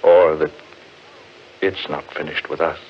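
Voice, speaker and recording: An actor playing an anxious, haunted gentleman in earnest, theatrical 1940s radio drama style. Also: a bass voice, flat delivery, a little slow. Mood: uneasy. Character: anxious, haunted gentleman survivor of a cursed forest. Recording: dull, faintly noisy 1940s radio recording.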